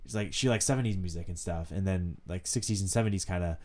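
A teenage boy hosting a podcast, rambling in a relaxed way with lots of filler words. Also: sits low, fast. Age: teens. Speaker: podcast host. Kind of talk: casual chat.

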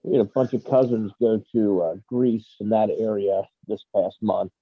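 A man with a husky, low voice chats easily as a podcast guest. He tells his story plainly, and his voice comes through dry and tinny, like a phone line. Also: normal speed, no room sound. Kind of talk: casual chat.

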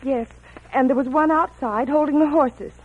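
An actress playing a shaken, earnest young office assistant with a husky voice in an old-time radio drama. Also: female voice, sits high, moderate pace, lightly acted. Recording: dull old radio recording.

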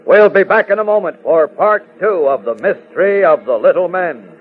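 A man's voice: sits high, animated, normal speed, breathy edge, faint music behind. Male 1940s radio announcer. Dramatic scripted narration, narrow vintage broadcast recording. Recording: thin, band-limited vintage radio recording.

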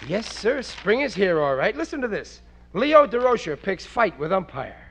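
Casting: clueless blustery family man, old-time radio comedy, folksy delivery with wide pitch swings. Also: big pitch swings, normal speed, fairly bright tone, a little hiss or hum, heavily acted. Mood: casual, oblivious. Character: bumbling, oblivious working-class husband and father. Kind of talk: 1940s radio sitcom acting. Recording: vintage radio broadcast recording.

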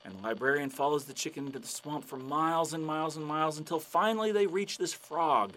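A man telling long, shaggy-dog jokes with a deadpan delivery in a radio drama. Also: fairly high pitch, fast, slight background noise, natural voice, low-quality recording. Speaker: joke teller.

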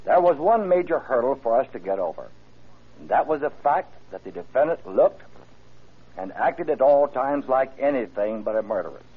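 A man's voice: mid-range voice, big pitch swings, normal speed, breathy edge, narrow, tinny audio. A man, a district attorney, giving a stiff, formal prepared statement on old-time radio. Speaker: district attorney, guest speaker. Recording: band-limited old radio broadcast.

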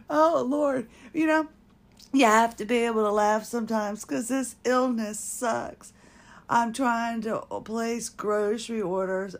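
Slow, gravelly woman talking candidly. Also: a little high. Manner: rambling and self-mocking, often breaking into laughter. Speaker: podcast host. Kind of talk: personal podcast monologue.

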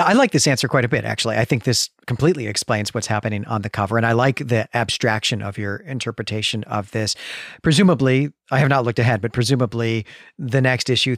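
A man's voice: mid-range voice, no room sound, clean studio sound. A man co-hosting a podcast, talking through a book in a chatty, informal and reflective way. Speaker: podcast co-host. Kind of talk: conversational book-club commentary.

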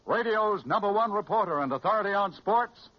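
A man's voice: high-pitched, lively intonation, fairly quick. An American man announcing and reading advertising copy in an emphatic 1940s radio manner. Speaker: radio announcer and commercial reader. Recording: dull, lo-fi vintage radio recording.

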